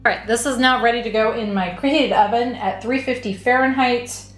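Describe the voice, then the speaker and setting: A woman's voice: fairly high pitch, brisk, faint music behind. Chatty woman baking in an echoey kitchen. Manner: step-by-step, chatty and relaxed. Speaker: cooking channel host. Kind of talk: casual baking demonstration. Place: home kitchen.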